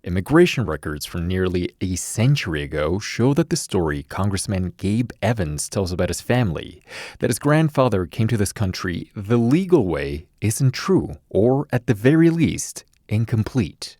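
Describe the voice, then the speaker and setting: A man's voice: fairly low pitch, very animated, normal speed, warm tone, no echo, studio quality. A man hosting a news podcast, reading scripted news and putting questions to reporters.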